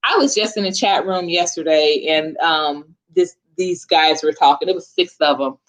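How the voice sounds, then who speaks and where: A woman in her forties with a bright, forward voice, talking to viewers of her live stream. She is chatty and informal, addressing the listeners directly in a loose, conversational way. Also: lowish voice, moderate pace, rough recording. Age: 40s. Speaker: host of a live chat stream. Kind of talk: casual live chat with viewers.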